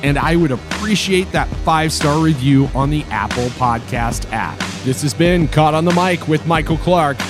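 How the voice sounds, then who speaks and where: A man hosting a podcast, hoarse from a cold, signing off with enthusiastic, slangy casual talk. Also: on the high side, moderate pace, hardly any pauses. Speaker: podcast host. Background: music.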